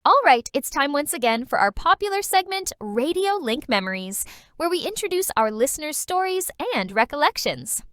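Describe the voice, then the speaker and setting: A woman's voice: high-pitched, sing-song, normal speed, a bit bright, no room sound, clean studio sound. A woman playing a cheerful late-night radio DJ who reads listeners' letters expressively in a manga dub. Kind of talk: radio presenting, anime-style dub.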